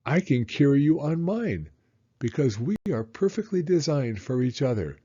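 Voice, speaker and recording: A man's voice: mid-range voice, big pitch swings, moderate pace, dark tone, slightly rough, highs cut off. A man voicing a cheerful young boy in a storybook, talking eagerly and affectionately to his dad. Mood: affectionate, wondering.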